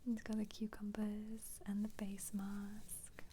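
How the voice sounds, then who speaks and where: A woman speaking as an ASMR host in a gentle whisper, musing casually and without hurry as she flips through a book. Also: medium pitch, monotone, slow pace, noisy background. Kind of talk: soft-spoken ASMR book flip-through.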